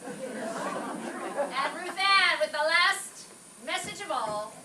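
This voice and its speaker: A woman's voice: extremely high pitch, animated, extremely slow. A woman making live announcements to an audience in an indoor hall. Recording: distant echoey mic, crowd and room noise. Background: crowd and room noise.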